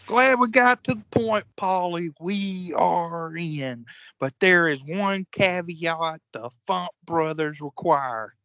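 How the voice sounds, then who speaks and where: A man's voice: high voice, slow, bright, forceful tone, no room sound, highs cut off, full character voice. A man acting in a comedic audio play as a crude, hot-tempered old-school wrestler, folksy and profane. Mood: blustering.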